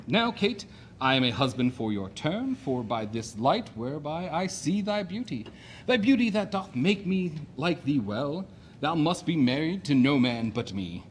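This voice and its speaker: An actor playing a brash, boastful, domineering suitor in a classic comedy audio play, with declamatory theatrical delivery. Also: male voice, a little high, a little hiss or hum. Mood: cocky, insistent.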